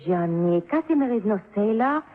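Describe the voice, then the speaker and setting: A woman's voice: mid-range voice, lively intonation, normal speed, close to an everyday voice. A woman playing a sly foreign woman in broken English. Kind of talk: 1950s radio drama acting. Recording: tinny old radio broadcast.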